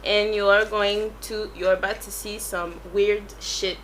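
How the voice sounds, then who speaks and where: A woman's voice: medium pitch, little pitch movement, measured pace, fairly bright tone, a little hiss or hum, poor audio. A French-accented woman, a native French speaker, chatting casually through a makeup tutorial and rambling as she searches for English words. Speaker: beauty YouTuber.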